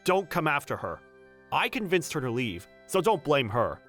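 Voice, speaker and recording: A man's voice: fairly high pitch, very animated, moderate pace, fairly bright tone, soft background music. An actor playing an earnest, protective young male manager who narrates a romcom manga dub in first person. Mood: firm, protective. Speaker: narrator and lead character.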